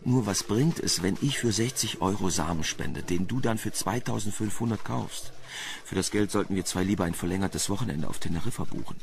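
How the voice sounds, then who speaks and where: A man playing a teasing, talkative, sardonic investigator with a gravelly voice in a German crime radio play. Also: sits low, a little fast, slight background noise. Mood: teasing, flirtatious. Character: flirtatious wry male investigator, teasing and talkative.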